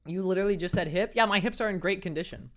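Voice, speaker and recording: A young man whose voice sits light and high, talking casually to his viewers over a desk mic. He jokes at his own expense and laughs easily between comments. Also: racing, a bit bright. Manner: playful, self-mocking, laughs often. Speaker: streamer. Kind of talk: casual chat reading viewer messages while gaming.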